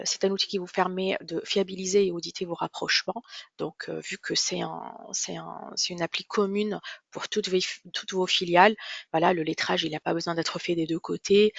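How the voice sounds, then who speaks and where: Gravelly-edged presenter on dull webinar audio. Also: mid-range voice, a bit bright, no room sound. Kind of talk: product demonstration webinar, semi-scripted explanation.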